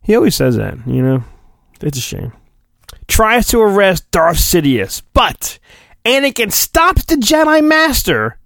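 Theatrical man, animated pitch, slow delivery. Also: gravelly, no room sound, clean studio sound. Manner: theatrical, hammy, irreverent. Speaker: podcast host. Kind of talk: mock-dramatic storybook reading with joking asides.

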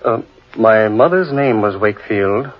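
A man playing a curious, breezy gentleman adventurer in 1940s radio horror, with genteel, theatrical phrasing. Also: lowish voice, lively intonation, a little slow. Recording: muffled old radio recording, music behind.

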